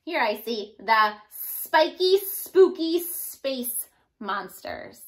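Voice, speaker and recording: A woman in her thirties giving an expressive storybook read-aloud for young children, like a teacher addressing her class. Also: a little high, very slow. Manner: animated, addressing young children, sounding out rhymes. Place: small indoor room.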